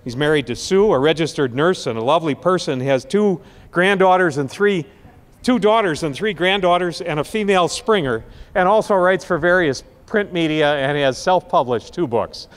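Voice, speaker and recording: A man speaks at a rally, his voice pitched a little high and carried by a long, trailing reverb. He is impassioned and emphatic, slowing down hard on the words he wants to land. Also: lively intonation. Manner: impassioned, emphatic, slows down to stress key words. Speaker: rally speaker introducing the next speaker. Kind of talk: speech at an outdoor rally.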